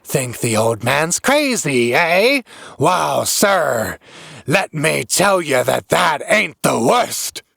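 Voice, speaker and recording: A crazed old drunkard from a horror audiobook. He rants in frantic rustic dialect with a gravelly, creaking voice, rambling between muttering menace and terror. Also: on the high side, very animated, takes it slowly, a bit bright, no room sound, studio quality, pitched above the performer's own voice, full character voice. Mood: frenzied, menacing. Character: crazed, terrified old New England drunkard ranting of horrors.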